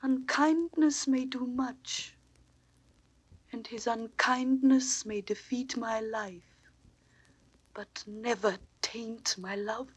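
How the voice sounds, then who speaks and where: A woman playing a gentle, wronged and devoted young wife in a classical Shakespearean audio drama, slow, plaintive and often near a whisper. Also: a little high, fairly even intonation, breathy edge, dry recording. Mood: pleading devotion. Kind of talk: classical Shakespearean stage acting on record. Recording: old dry studio drama record.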